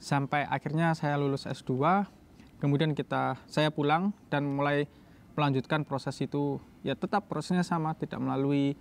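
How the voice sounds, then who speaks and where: Halting, higher-pitched young man. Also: fairly even intonation, creaky, a little hiss or hum. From Jombang, East Java, Indonesia. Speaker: doctoral student telling his story. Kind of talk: casual personal storytelling.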